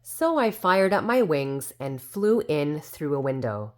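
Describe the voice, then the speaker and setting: A woman's voice: sits low, very animated, unhurried. A woman voicing a very old, indignant but well-meaning fairy who tells her own storybook tale defensively and with self-assurance. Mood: hurt, earnest. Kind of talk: storybook character narration.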